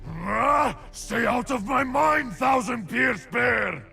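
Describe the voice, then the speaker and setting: A man playing a solemn, stern shaman warrior in a video game, with a gravelly voice and a slow, dramatic delivery. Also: very high voice, lively intonation, pushed and bright, raised voice, soft background music, exaggerated voice. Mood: anguished, shouting. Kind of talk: video game character voice lines.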